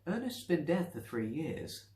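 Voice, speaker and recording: A man voicing a meek, awkward male doctor who speaks softly and hesitantly with stammers, in a story reading. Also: on the high side, sing-song, unhurried, roomy sound, slight background noise, pitched above the performer's own voice. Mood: nervous, wary. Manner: hesitant, stammering, soft-spoken. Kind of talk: story reading with character voices.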